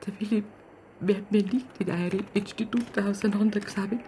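An actress playing a blunt, stubborn, grieving middle-aged aunt with a Bavarian accent in a naturalistic radio drama. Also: female voice, medium pitch, fairly even intonation, moderate pace, mellow, slight background noise, lightly acted. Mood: wounded, insistent. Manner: blunt, insistent, wounded. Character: stubborn, indignant, grieving aunt, plain small-town Bavarian woman.

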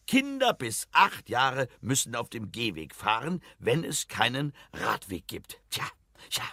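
Gravelly, excitable eccentric quiz doctor. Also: male voice, medium pitch, measured pace, no echo, studio quality, exaggerated voice. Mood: pompous, instructive. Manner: pompous, theatrical, chuckling at own jokes. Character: eccentric, excitable quiz expert Doctor Speiche, boastful and grumbling. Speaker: quiz expert. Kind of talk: children's radio play acting, mock quiz show.

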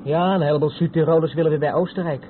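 A man's voice: on the high side, steady tone, fast, lightly acted. An actor playing a curious, eager young male traveller in a radio play. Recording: tinny noisy old radio play recording.

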